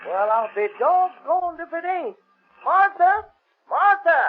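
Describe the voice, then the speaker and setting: An actor playing an excitable, folksy Southern gas station man in a 1930s radio drama. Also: very high-pitched, takes it slowly, full character voice. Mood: excited. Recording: muffled, tinny 1930s radio recording.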